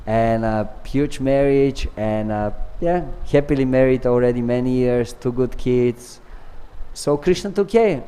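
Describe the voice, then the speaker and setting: A man from Bulgaria, giving an earnest devotional scripture class and telling stories with pauses and restarts. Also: mid-range voice, warm tone, clean tone. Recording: long reverb of a large hall.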